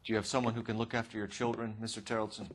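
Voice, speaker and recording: An actor playing a formal, fair-minded homicide detective in a video game, clipped and courteous. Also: male voice, on the low side, little pitch movement, racing, noisy background, lightly acted. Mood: grave, polite. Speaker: police detective. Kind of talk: video game cutscene acting.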